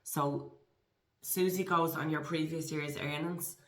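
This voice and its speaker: A woman's voice: low voice, steady tone, slow pace, echoey room. A woman in her forties with an Irish accent, a guest talking earnestly and encouragingly in a conversational interview, with frequent restarts. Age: 40s.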